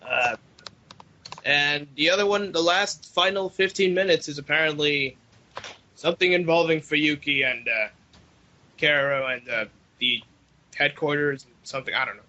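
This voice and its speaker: Bright-voiced young man, dull lo-fi call. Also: fairly high pitch, moderate pace, long pauses. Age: young adult. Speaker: podcast co-host. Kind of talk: casual fan chat.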